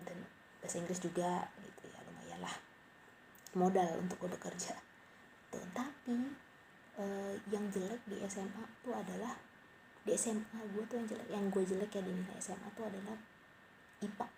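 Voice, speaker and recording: A woman's voice: medium pitch, lively intonation, normal speed, creaky, pauses often. A young woman rambling casually and mocking herself, sometimes dropping to a whispery aside. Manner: rambling, self-mocking, slangy. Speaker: podcaster. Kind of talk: casual late-night monologue. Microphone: cheap home mic. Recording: noisy home mic recording.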